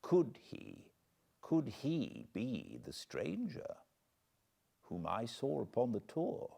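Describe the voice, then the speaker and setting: A British man reading a classic novel aloud as an audiobook narrator, in a measured, expressive storytelling manner. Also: medium pitch, creaky, husky. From Britain. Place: cozy spot by a fireplace at home.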